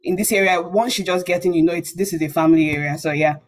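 A woman's voice: fairly low pitch, steady tone, fast. A woman, around her thirties, introducing herself and sharing experience informally as a student panelist.

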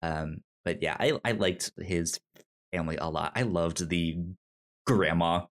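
A man co-hosting a casual film discussion, speaking haltingly and repeating words as he searches for his phrasing. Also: low-pitched. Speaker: podcast co-host.

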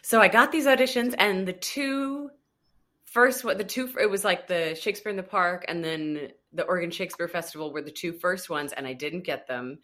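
A woman's voice: fairly low pitch, moderate pace, a bit bright. A woman in her thirties, a guest actor, telling a story in a casual interview and acting out her own past thoughts.

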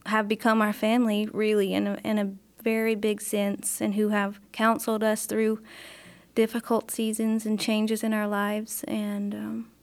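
A woman, a missionary's wife, speaking softly and reflectively in an interview. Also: mid-range voice, monotone, a little slow. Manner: soft, reflective, gentle. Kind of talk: interview conversation.

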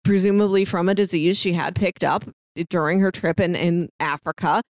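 A woman's voice: lowish voice, steady tone, normal speed, dry recording, studio quality. A woman talking casually as a podcast co-host, recapping a story in a conversational way. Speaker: podcast co-host discussing an audio drama.

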